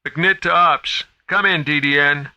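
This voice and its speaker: Man acting bright, high-pitched flustered security guard. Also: a little slow, dry recording, exaggerated voice. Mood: urgent. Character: gruff, flustered male night security guard on radio. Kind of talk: audiobook character acting.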